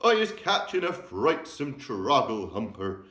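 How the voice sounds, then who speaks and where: A man voicing an excitable old male giant who mangles his grammar, invents words and bursts into loud exclamations. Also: medium pitch, moderate pace, echoey room, pitched above the performer's own voice. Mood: distressed.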